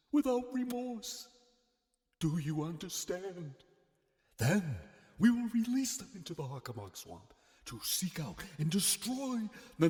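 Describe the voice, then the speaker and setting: A man playing a sinister, grandiose mad scientist villain in a melodramatic horror audio drama, swinging theatrically from hushed to booming. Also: heavily acted. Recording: long hall-like reverb.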